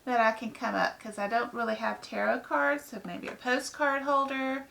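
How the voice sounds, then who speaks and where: A woman with a hoarse, raspy voice from a head cold, chatting casually through an unboxing with lots of ums. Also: fairly high pitch, steady tone, moderate pace, fairly bright tone, low-quality recording. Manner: chatty, rambling, many ums. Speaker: book vlogger.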